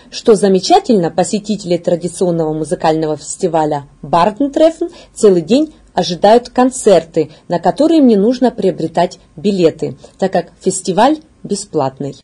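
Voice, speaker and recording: A female news presenter speaks in a mellow, dark tone with a husky edge. Her pitch sits in the middle for a woman. She reads from a script in a measured, formal way. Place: indoor studio.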